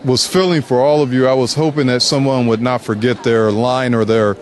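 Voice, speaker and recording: A man's voice: mid-range voice, fairly even intonation, brisk, darkish tone. A man hosting a casual interview, upbeat and enthusiastic with the performers. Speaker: show host interviewing performers. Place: outdoor reception. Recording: crowd chatter behind. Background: crowd chatter.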